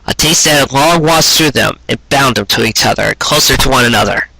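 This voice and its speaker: A man giving an expressive, earnest reading in the manner of a storyteller, with dramatic phrasing. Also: mid-range voice, brisk, gravelly, slightly rough, no room sound, rough recording. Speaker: fan fiction reader and show host.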